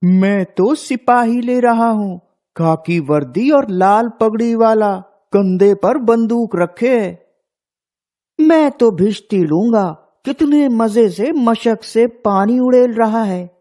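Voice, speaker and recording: A woman's voice: on the high side, animated, slow, warm tone. A woman voicing an eager, boastful young village boy in a childlike, simple manner for a cartoon story. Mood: excited.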